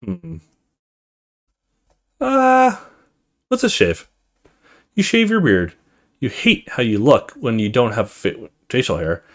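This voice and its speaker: A man's voice: mid-range voice, sing-song, slow pace, long pauses, fairly bright tone. A man hosting a gaming video, reading story text aloud expressively in a casual let's play style.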